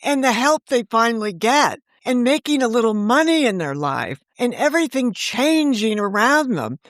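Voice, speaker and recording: A woman's voice: fairly high pitch, big pitch swings, normal speed, no echo, studio quality. A woman novelist talking as an interview guest, telling stories in a chatty way and laughing often. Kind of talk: casual interview chat.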